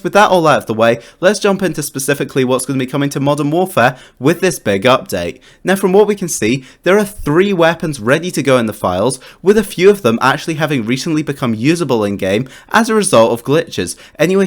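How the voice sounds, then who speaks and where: A man narrating a scripted update for a video game channel as its host, enthusiastic and putting emphasis on each new point. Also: medium pitch. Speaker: gaming YouTube host.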